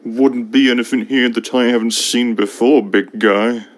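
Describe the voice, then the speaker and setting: A man voicing an old, grumpy but polite steam engine in a cartoon, gravelly, measured and condescending. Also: medium pitch, fairly even intonation, close to an everyday voice. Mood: stern, annoyed. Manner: measured, condescending, calls others young'un. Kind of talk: fan animated game cutscene acting.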